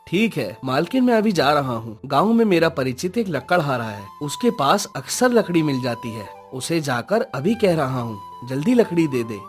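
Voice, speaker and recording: पुरुष की आवाज़ में हड़बड़ी वाला गाँव का नौकर. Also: male voice, fairly high pitch, sing-song, normal speed, few pauses, faint music behind. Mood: obedient. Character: obedient, hurried male servant of a village landlord. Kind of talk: cartoon moral story acting.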